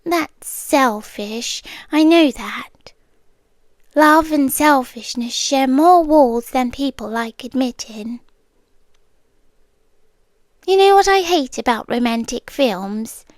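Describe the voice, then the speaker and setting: An obsessive, romantic young kidnapper speaks in a high, soft whisper with a British accent, as a woman acts her out for ASMR. She muses slowly and calmly. The sound is close and dry, with no room around it. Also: no room sound. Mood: brooding. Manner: soft whispering, slow musing monologue. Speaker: ASMR roleplay creator. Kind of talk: ASMR roleplay.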